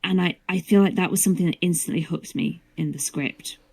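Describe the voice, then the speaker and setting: A woman's voice: lowish voice, a little fast, darkish tone, breathy edge. A woman, a voice actor appearing as a guest, chatting casually on a livestream in a playful, emphatic way.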